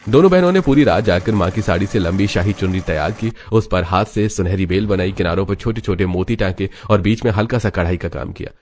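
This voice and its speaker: A man's voice: sits low, a little fast, hardly any pauses, darkish tone. A man narrating a scripted dramatic family story as a storyteller. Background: background music.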